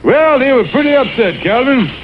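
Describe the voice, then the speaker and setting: High-pitched blustering cartoon fox. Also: male voice, expressive, normal speed, slight background noise. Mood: chatty, scheming. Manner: stammering, wheedling, evasive. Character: scheming, blustering male Southern con-artist fox colonel. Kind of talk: 1960s TV cartoon sitcom acting. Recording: dull vintage TV audio with music.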